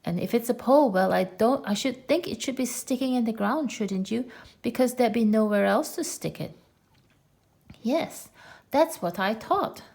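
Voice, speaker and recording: A woman's voice: a little high, expressive, normal speed, vocal fry, darkish tone. Fussy bossy rabbit voiced by a woman, reasons aloud, storybook reading.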